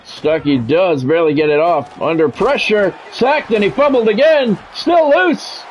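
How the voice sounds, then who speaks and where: A man's voice: high-pitched, unhurried, a little hiss or hum. An American man doing play-by-play commentary on a football game in short, clipped announcer phrases. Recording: dull lo-fi sound, music behind.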